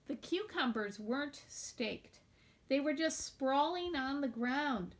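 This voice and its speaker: A woman reading a children's novel aloud in a deliberate, expressive storytelling manner, like a teacher with a class book. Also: high-pitched.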